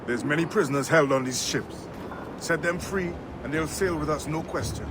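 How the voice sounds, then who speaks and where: An actor playing a bold, resolute and tough pirate in a dramatic video game. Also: male voice, a little high, moderate pace, very noisy, lightly acted. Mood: earnest. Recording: loud music behind the voice.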